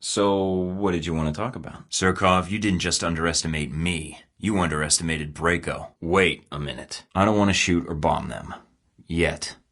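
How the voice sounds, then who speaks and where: A man's voice: low voice, steady tone, normal speed, a bit bright. An actor playing a cocky young male secret agent in a video game, delivering dry, sarcastic, terse lines. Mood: cool. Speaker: game character.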